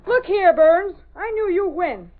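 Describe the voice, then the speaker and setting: Casting: high-voiced, brassy American newshound, wisecracking and animated, vintage radio drama with muffled sound. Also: female voice, measured pace. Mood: indignant, pushy. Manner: brassy, slangy, pushy. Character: brash, wisecracking young female newspaper reporter. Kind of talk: 1930s radio drama acting. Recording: muffled, narrow-band old radio recording.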